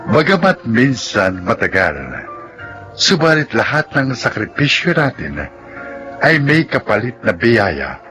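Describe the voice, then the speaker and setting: A man's voice: medium pitch, very animated, slow pace. A man with a Filipino accent giving formal, dramatic scripted narration as a radio drama announcer. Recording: clear music behind.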